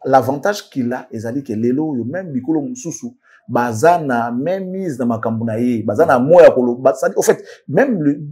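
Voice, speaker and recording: A man's voice: mid-range voice, expressive, moderate pace, warm tone, breathy edge. A man commenting in a casual studio discussion, switching between French and Lingala, often restarting and repeating words. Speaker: commentator guest.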